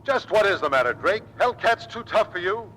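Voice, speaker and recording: A tough American fighter squadron leader in an old radio play, with a bright, forceful, slightly high voice. He speaks with earnest military authority over a noisy vintage recording. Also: sing-song, normal speed. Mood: stern. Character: tough, loyal Navy fighter squadron commander. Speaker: actor in radio drama. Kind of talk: old-time American radio drama acting.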